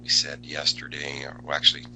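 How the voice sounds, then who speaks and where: A man hosting a live trading webinar, talking casually with many fillers, his voice still a little low after a cold. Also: completely flat delivery, a little fast, bright, forceful tone, a little hiss or hum. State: recovering from a cold, voice a bit lower. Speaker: forex educator hosting a webinar. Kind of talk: live market analysis webinar.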